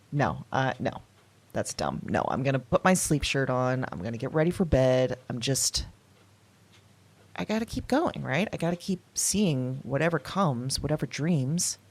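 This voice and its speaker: A woman with a deep, husky voice speaks into her audio journal in a drama. She talks hesitantly and confessionally, often slipping into a whisper. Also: darkish tone. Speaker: narrator keeping an audio journal.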